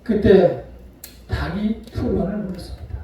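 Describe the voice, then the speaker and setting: A male pastor preaching a sermon, retelling a story with acted-out voices and sharp swings between loud and soft. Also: high voice, a little slow. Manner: storytelling, acts out quoted voices. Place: church sanctuary. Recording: echoey hall from far off.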